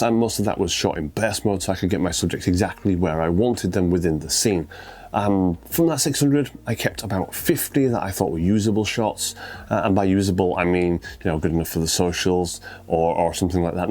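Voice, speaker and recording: A middle-aged man with a cold, sounding stuffy and croaky as he talks through his photos in a relaxed, conversational way. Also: sits low. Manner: relaxed, conversational, a few fillers. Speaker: photography video host.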